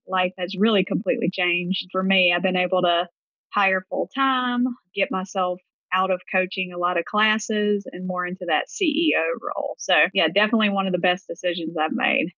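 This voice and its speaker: Mississippi woman with a Deep South drawl. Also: steady tone, moderate pace, sharp tone, no echo, highs cut off. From Gulfport, Mississippi, US. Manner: conversational, tells her story in loose run-on phrases. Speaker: podcast guest, gym owner. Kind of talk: casual interview answers.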